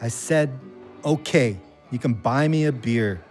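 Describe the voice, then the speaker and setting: A man's voice: medium pitch, unhurried, a little mellow, faint music behind, lightly acted. An actor in an audio drama playing a troubled, hot-tempered man with a drinking problem, blunt and slangy. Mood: defensive, sullen. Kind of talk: gritty contemporary audio drama dialogue.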